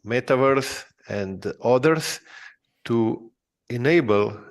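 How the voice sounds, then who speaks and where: A man walking through a product demonstration, speaking in a halting way with frequent fillers. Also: medium pitch. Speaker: presenter. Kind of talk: recorded product walkthrough.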